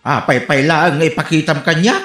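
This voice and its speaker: A man playing a silly, excitable old husband in an Ilocano radio comedy drama, with an Ilocano accent. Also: a little high, normal speed, natural voice. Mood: bumbling. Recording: echoing room, faint music behind.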